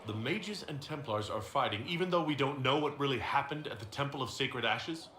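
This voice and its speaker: An actor playing a calm, direct, questioning male hero in a fantasy video game. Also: medium pitch, a little fast, natural voice. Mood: measured, questioning. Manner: direct, questioning, level-headed. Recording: roomy echo, clear background noise.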